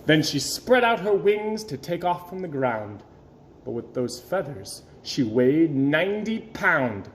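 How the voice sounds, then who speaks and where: Animated man reading a children's story aloud. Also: fairly high pitch, slight background noise. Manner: theatrical, dramatic emphasis and pauses. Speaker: storybook reader. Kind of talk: expressive scripted children's read-aloud.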